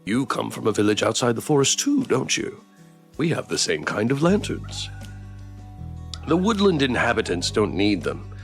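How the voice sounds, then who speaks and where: Man playing a gravelly, blunt forest traveler. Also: lowish voice.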